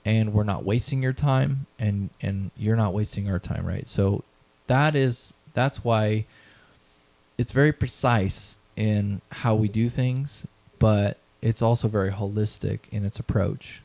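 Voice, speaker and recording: A man's voice: lowish voice, unhurried, vocal fry, a little mellow. A man in his thirties being interviewed, talking earnestly about his mission and values, often checking in with "right?". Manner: earnest, motivational, repeats phrases, tags "right?". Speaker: event founder, guest. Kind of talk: conversational interview.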